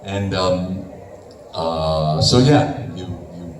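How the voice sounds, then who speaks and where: A man in his sixties, a voice actor, talking as a guest on a convention panel and telling animated stories. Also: low voice, drawn-out words. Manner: animated storytelling with laughs and acted-out quotes. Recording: roomy hall audio from a distance with noise.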